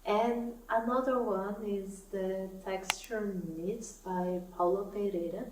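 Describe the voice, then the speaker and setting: A young woman speaks English, slowly and thoughtfully, in an easy vlog chat. She is recorded from a little way off the mic, in an echoey room with a faint hiss underneath. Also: medium pitch, fairly even intonation, distant mic. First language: Portuguese. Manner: soft-spoken, thoughtful, laughs often. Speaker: knitting vlogger. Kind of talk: casual chat to camera.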